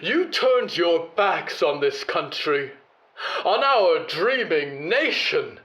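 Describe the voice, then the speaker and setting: Haughty corporate villain, very high pitch, swooping intonation, pompous, disdainful, audio drama. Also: male voice, very animated, slow, a bit bright, heavily acted. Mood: scornful, indignant.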